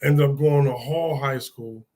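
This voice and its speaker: A man in his sixties from Hartford, Connecticut, telling stories as an interview guest in a relaxed, rambling way with many restarts. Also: medium pitch, measured pace. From Hartford, Connecticut, USA. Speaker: guest, former football player. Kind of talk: casual interview storytelling.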